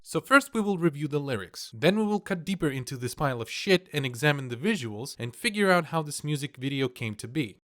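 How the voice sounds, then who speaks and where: A man's voice: fairly high pitch, expressive, fairly quick, hardly any pauses, fairly bright tone, faint music behind. A man whose first language is Russian, delivering scripted video commentary in a brash, mocking, casually profane manner.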